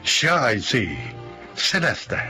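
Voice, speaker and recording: A man announcing and narrating in a dramatic classic radio style, formal, deliberate and emphatic. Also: medium pitch, big pitch swings. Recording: music clearly underneath.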